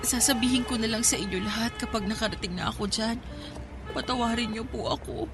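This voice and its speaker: A woman acting as a downtrodden young maid in a radio drama, very high in pitch. She is soft, pleading and emotional, with faint music underneath. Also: brisk, lightly acted. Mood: tearful. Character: gentle, downtrodden young housemaid, emotional and grateful.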